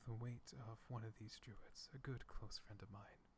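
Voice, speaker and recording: A man voicing a calm, kindly male dragon in a soft-spoken ASMR roleplay, speaking slowly and soothingly with a gravelly whisper close to the mic. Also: fairly low pitch, a little hiss or hum, natural voice. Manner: slow, hushed, comforting. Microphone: close sensitive ASMR mic.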